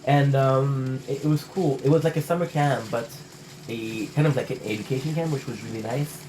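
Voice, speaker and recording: Guy vlogging, stammers and restarts, swears a lot, normal pitch. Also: normal speed, a little mellow, slight background noise, rough recording. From Montreal, Canada. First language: French. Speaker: channel host. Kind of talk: casual rambling vlog chat.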